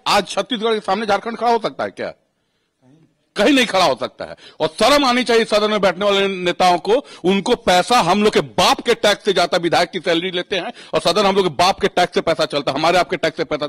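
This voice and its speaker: A man's voice: high voice, a bit bright. A man with a Jharkhand Hindi accent giving heated political commentary, indignant and combative, hammering phrases by repeating them. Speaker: panel guest giving his opinion.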